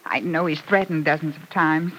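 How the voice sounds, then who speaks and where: Scared guilty wife in an old radio soap, earnest n dramatic, 1940s broadcast sound. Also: female voice, deep voice, fairly even intonation, moderate pace, a bit bright, slight background noise, rough recording. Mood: bitter, earnest. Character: frightened, guilt-stricken wife of a murderous husband.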